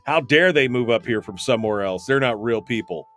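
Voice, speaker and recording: A man's voice: mid-range voice, animated, brisk, fairly bright tone, faint music behind. A man hosting a talk radio show, reading listener comments aloud and answering them with opinionated, rambling remarks full of ums and restarts.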